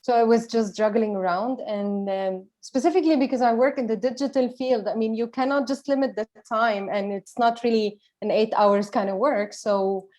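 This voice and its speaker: A woman speaks in a mellow, warm tone as a panel guest. She answers plainly and without fuss. The sound comes over a video call. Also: on the high side, moderate pace, hardly any pauses. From Lebanon.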